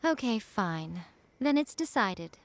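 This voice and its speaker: A woman's voice: on the high side, sing-song, slow pace, background noise, full character voice. A woman playing an aloof, teasing head of a shrine in a video game, sly, breezy and self-amused. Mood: breezy, commanding. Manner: sly, breezy, mock-offended, condescending. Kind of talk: English video game voice acting.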